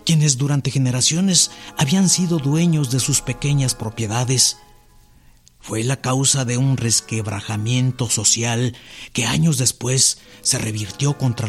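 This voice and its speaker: A man's voice: mid-range voice, measured pace, faint music behind, close to an everyday voice. An actor playing a bookish man reading history aloud formally.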